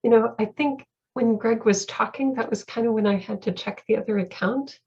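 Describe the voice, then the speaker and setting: Higher husky woman voice, casual, muffled lo-fi call audio. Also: moderate pace, darkish tone. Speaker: online session host. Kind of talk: casual chat. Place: online video call.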